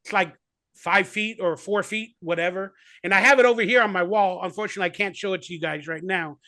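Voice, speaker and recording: A man's voice: high-pitched, fast talker, sharp tone, dry recording. A man in his forties, a guest actor on a video call, talking in a rambling, enthusiastic way full of fillers. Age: 40s. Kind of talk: casual remote interview.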